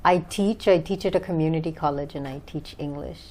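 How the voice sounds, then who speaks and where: A woman's voice: low voice, a little fast, warm tone, slight background noise. A woman from Bangladesh answering conversationally as an interview guest, hesitant as she searches for words. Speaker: interview guest, author and English teacher.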